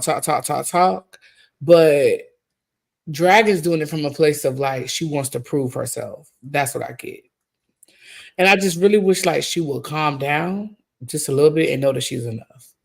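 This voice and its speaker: A woman's voice: deep voice, gravelly, a little mellow. A woman guest in a casual chat, giving candid, blunt opinions.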